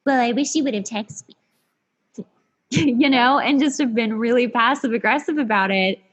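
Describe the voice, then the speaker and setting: Higher-pitched, self-mocking woman in casual chat. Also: moderate pace, low-quality recording. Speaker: podcast guest.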